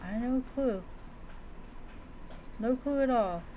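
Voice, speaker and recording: A woman streaming a game, giving casual in-character commentary as she thinks aloud in an unhurried, musing way. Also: a little high, lo-fi sound, slight background noise. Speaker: gaming streamer.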